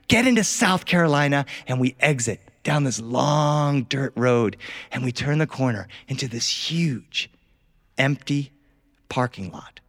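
A man telling a first-person story in an animated way, as a storyteller performing live. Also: mid-range voice. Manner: animated, acts out family dialogue.

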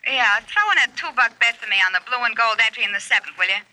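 An actress playing a brisk, streetwise, brassy woman of the betting underworld in an old-time radio crime drama. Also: a little high, expressive, sharp tone, poor audio. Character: brassy, savvy gangster's girlfriend or betting customer. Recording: old radio show recording.

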